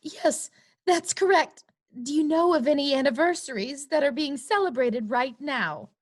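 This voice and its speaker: A woman's voice: high-pitched, moderate pace, natural voice. An actress playing a prim, earnest young woman in a comic noir play, speaking precisely and insistently like a schoolteacher. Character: prim, earnest young woman client, a former teacher turned lawyer.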